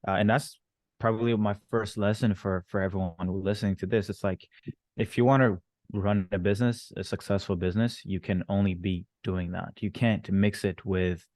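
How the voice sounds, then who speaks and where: A man with a fairly low voice, recorded dry with little room sound. He grew up in Rwanda and then Canada, and he talks casually as an interview guest, often circling back to rephrase his points. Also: steady tone, moderate pace, darkish tone, no room sound. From born in Rwanda, moved to Canada at thirteen. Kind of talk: casual interview, telling his own story.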